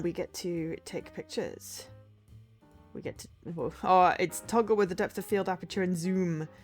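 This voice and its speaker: A woman's voice: lowish voice, darkish tone. Sniffly woman streamer with a cold. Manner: chatty and hesitant, full of ums, unsure of name pronunciations. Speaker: game streamer. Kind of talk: casual livestream chat, reading a game blurb aloud.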